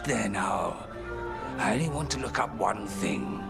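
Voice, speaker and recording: A muttering, bookish scholar in a fantasy game. The voice is extremely gravelly and rather slow, with loud music over it. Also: male voice, on the low side, expressive. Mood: absorbed. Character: muttering, absorbed male scholarly guest. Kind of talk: video game voice acting.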